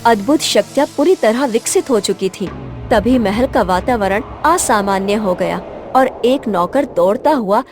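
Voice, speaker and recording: A woman's voice: mid-range voice, lively intonation, unhurried, music in the background. A woman narrator reading a scripted folk tale in storytelling style.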